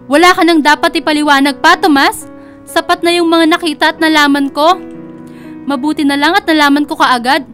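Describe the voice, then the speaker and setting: A woman's voice: high-pitched, steady tone, a little fast, a bit bright, soft background music. A woman playing an emotional, protective mother in a radio drama, with a dramatic delivery. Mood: furious, shocked. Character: protective, emotional single mother defending her daughters.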